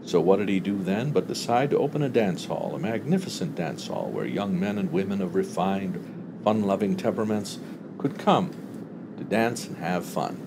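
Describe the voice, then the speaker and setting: A man narrating a literary short story as an audiobook reader, with expressive delivery. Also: low-pitched, moderate pace, noisy background.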